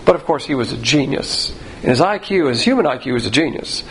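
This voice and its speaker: A man's voice: mid-range voice, lively intonation, a little fast, a little hiss or hum, poor audio. A man teaching a verse-by-verse Bible class, talking in a folksy, conversational way and repeating his points for emphasis.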